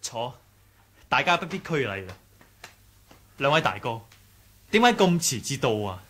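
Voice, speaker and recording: A man's voice: mid-range voice, sing-song, unhurried, bright, forceful tone, full character voice. A man with a Hong Kong Cantonese accent playing an earnest, courteous young martial-arts leader in a radio drama. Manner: courteous, eagerly questioning. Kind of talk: 1980s radio drama acting.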